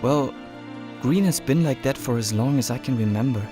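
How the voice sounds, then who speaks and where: A woman's voice: extremely low pitch, normal speed. A woman playing an easygoing, boyish young male trainer in an anime-style manga dub. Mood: playful. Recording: music under the voice. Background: background music.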